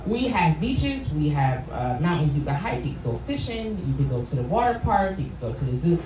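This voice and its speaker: Casting: American woman, low register, reflective and emphatic conversational guest, recorded over loud background noise. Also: very animated, normal speed. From New Jersey, United States. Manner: reflective, emphatic, often checks in with "right?". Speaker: guest, wellness coach and therapist. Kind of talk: conversational podcast interview answers. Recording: roomy echo, distant mic, loud background noise.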